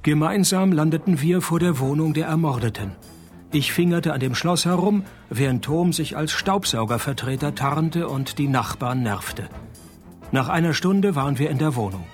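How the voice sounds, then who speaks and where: An actor playing a wry, cynical private detective who narrates a parody noir radio play in a laconic, deadpan way. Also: male voice, fairly high pitch, normal speed, soft background music, close to an everyday voice. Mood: deadpan narrating.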